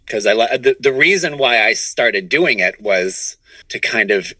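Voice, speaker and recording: Bright, forceful middle-aged man, lowish pitch. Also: breathy edge, no echo. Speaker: interview guest, comedy writer and voice performer. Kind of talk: casual conversational storytelling.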